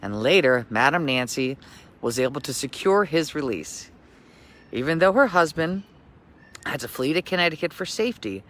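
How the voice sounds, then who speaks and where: Bright-voiced man telling local history. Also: mid-range voice. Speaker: local history tour guide and reader. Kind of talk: scripted story reading, then informal tour talk.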